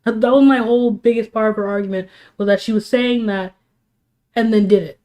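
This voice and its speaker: A woman's voice: on the high side, fairly even intonation, a little fast, darkish tone. A young woman, sick and sniffling, ranting on a casual livestream with heated, rambling talk full of restarts and fillers. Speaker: streamer.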